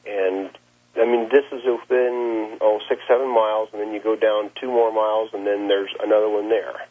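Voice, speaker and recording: A man, a plain-spoken cattle rancher giving a halting account in an interview over the telephone. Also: on the low side, level tone. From Nebraska, United States. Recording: muffled, lo-fi phone interview line.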